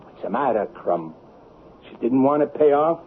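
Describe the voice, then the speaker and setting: Tinny, lively, sardonic cop, played by an actor. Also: male voice, mid-range voice, normal speed, close to an everyday voice. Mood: wry, taunting. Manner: brusque, mocking. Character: brusque, sardonic male police detective. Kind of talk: 1970s radio drama acting. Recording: phone-like old radio with faint noise.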